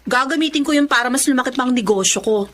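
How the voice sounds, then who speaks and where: A woman acting a bossy, ambitious eldest daughter in her twenties in a Filipino drama, expressive and dramatic in delivery. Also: high voice, fast talker, a little hiss or hum. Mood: excited, determined. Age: 20s. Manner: expressive, scolding or teasing by turns.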